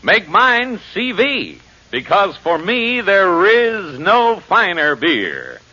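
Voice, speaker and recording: High, very slow rhythmic ad man. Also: huge pitch swings, pushed and bright. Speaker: commercial jingle spokesman. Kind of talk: rhythmic 1940s radio advertising slogan. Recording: muffled 1940s radio recording.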